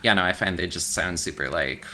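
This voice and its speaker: A man with a deep voice, chatting loosely as a podcast guest, swearing casually and laughing easily. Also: brisk, bright, forceful tone. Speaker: guest, extreme metal vocalist. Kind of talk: casual interview chat.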